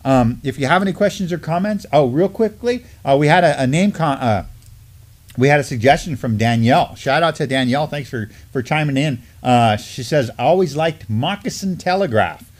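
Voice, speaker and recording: A middle-aged man co-hosting a casual news live stream, talking in a halting, rambling, conversational way. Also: fairly high pitch, animated. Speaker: morning show co-host. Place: indoor studio desk in front of a backdrop.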